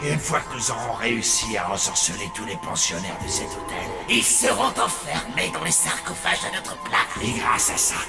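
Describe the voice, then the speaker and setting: A man's voice: on the low side, big pitch swings, normal speed, few pauses. An actor voicing a scheming, gleeful ancient male mummy villain in a cartoon, gloating and cackling. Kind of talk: cartoon voice acting. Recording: roomy reverberant sound, distant, loud music.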